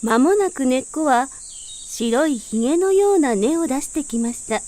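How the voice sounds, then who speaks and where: A woman storyteller reads a children's fairy tale. Her voice sits very high, and she delivers the narration as a scripted picture story for small listeners. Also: lively intonation, moderate pace, warm tone.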